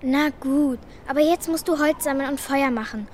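A woman's voice: sits high, normal speed, darkish tone, slight background noise, lightly acted. A female performer playing a bold, stubborn, cheeky orphan girl in a children's radio play, blunt and bossy. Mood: bossy, picky. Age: child figure.

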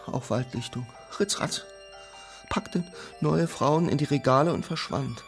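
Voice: mid-range voice, measured pace, faint music behind, lightly acted. An actor in a children's radio play playing a tiny, dreamy, stubborn little man, childlike and whimsical, moving between whispers and exclamations. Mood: wistful.

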